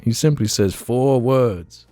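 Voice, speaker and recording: A man's voice: medium pitch, takes it slowly, mellow, no room sound. A man co-hosting a podcast in loose, casual chat, often breaking into laughter as he reads questions from listeners. His voice sits in an ordinary middle register and comes across dry and close to the mic. Faint music runs under the talk. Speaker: podcast co-host.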